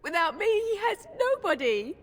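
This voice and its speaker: A woman's voice: very high-pitched, sing-song, moderate pace. A woman playing a frightened, tearful young village girl in a game, timid and pleading as she stammers. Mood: distraught, worried. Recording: long reverberant hall echo.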